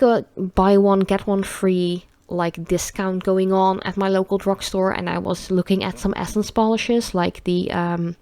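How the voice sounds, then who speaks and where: A Dutch woman, speaking English as a second language, rambling chattily through a nail polish vlog with many ums and soft laughs. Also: mid-range voice, dry recording. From Netherlands.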